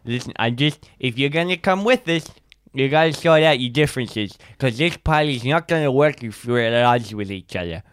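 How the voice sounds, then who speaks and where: A small, bossy adventurer with a big heart, acted out by a man. His pitch moves around a lot as he gives folksy, earnest advice with halting dramatic pauses, recorded dry for an audio drama. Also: lively intonation, fairly bright tone, clear, resonant tone, no room sound, natural voice. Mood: firm, encouraging.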